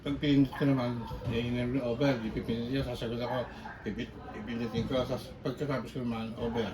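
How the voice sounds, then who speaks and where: A man with a Filipino accent in casual chat, halting and repeating himself as he checks what to do. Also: mid-range voice, level tone, far from the mic. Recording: off-mic, clearly noisy background.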